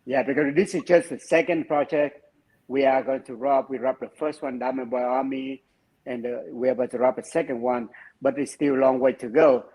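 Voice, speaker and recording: Male guest, Vietnamese accent, non-native halting English, home video call. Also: medium pitch, steady tone, darkish tone, poor audio. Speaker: project founder guest. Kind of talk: casual interview over video call. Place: home room with framed photos.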